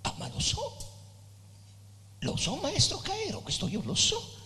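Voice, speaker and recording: An actor playing a weary, reverent dying poet in a theatrical reading, declamatory yet hesitant, with phrases that fade toward whispers. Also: male voice, extremely high pitch, huge pitch swings, measured pace, sharp tone, heavily acted. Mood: tender. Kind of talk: theatrical monologue with narration, live literary stage reading. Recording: reverberant hall with music.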